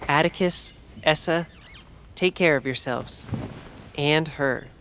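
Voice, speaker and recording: A woman's voice: low-pitched, takes it slowly, a bit bright, slight background noise, full character voice. A woman playing a dry, sceptical companion in an audio drama. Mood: dry, concerned.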